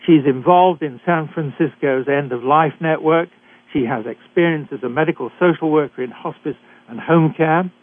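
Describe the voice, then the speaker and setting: An older British man hosting a talk radio show, introducing guests in a measured, deliberate way with occasional hesitations. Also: on the high side, no room sound. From Britain. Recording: dry, tinny phone-like line.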